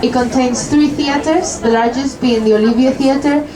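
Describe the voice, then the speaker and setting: A woman's voice: sits high, fairly even intonation, a little slow, crowd noise, poor audio. A young woman from Spain with a Spanish accent, giving live commentary as a tour guide on a river cruise boat, friendly and lightly joking.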